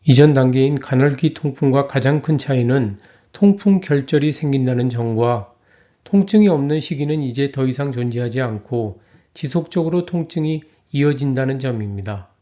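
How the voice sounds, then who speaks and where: Measured male health lecturer, mellow voice. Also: mid-range voice, lo-fi sound. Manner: even, measured reading. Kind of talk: scripted lecture narration.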